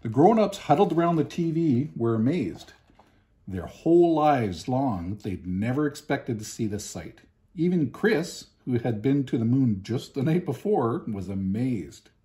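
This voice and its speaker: Man, fifties, warm dark tone, medium pitch, expressive children's storytelling, deliberate phrasing. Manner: expressive, deliberate with emphatic pauses. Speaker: storybook reader. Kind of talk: children's picture book read-aloud. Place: home living room, indoors.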